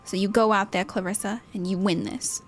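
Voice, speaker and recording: A woman's voice: mid-range voice, steady tone, fairly quick, soft background music, voice pushed down, natural voice. Woman playing an earnest, supportive fighter's second. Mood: earnest, encouraging. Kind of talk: video game dialogue voice-over.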